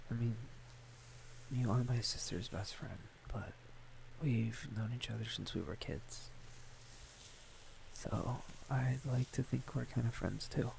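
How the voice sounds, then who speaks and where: Man acting shy, gravelly, slow-talking young guy. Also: medium pitch, slight background noise, low-quality recording. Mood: gentle, awkward. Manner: hesitant, stammering, gently teasing. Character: shy, awkward, tender young man, best friend's older brother. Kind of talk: intimate romantic ASMR audio roleplay.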